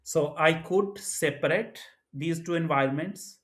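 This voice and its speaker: A man's voice: fairly high pitch, measured pace, fairly bright tone. A man from Pakistan co-hosting a business culture talk, speaking thoughtfully with many restarts. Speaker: co-host. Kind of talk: conversational podcast discussion.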